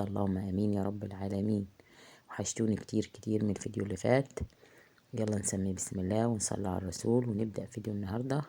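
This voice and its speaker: A woman with an Egyptian accent, hoarse from a heavy cold, chatting casually through a cooking tutorial in her home kitchen, halting often with drawn-out filler sounds. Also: extremely low pitch, dark tone. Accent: Egyptian Arabic. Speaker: home cook vlogger. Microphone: phone.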